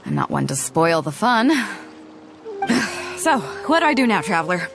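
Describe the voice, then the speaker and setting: A woman's voice: lowish voice, sing-song, a little slow, creaky, music in the background, exaggerated voice. An actress voicing a bold, teasing, confident female ship captain in a game cutscene. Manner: teasing, self-assured, laughs easily.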